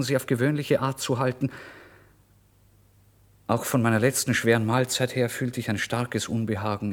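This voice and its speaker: An actor playing a tormented, starving man, bitter and brooding, in a deliberate, theatrical radio-play monologue. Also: mid-range voice, fairly bright tone, natural voice. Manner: brooding, deliberate, theatrical, swinging between murmur and outburst. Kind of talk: dramatic first-person literary monologue for radio play.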